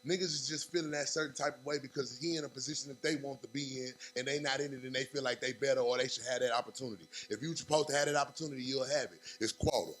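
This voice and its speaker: A young man, a rapper talking as a guest on a podcast in fast, animated street talk full of fillers. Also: mid-range voice, background noise. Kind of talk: casual podcast interview.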